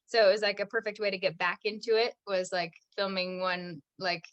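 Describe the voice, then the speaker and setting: A woman's voice: mid-range voice, level tone, fairly quick, highs cut off. A young American actress chatting as a podcast guest, filling her talk with "like" and frequent laughs. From United States. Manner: chatty, says "like" constantly, laughs often. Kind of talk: casual interview chat.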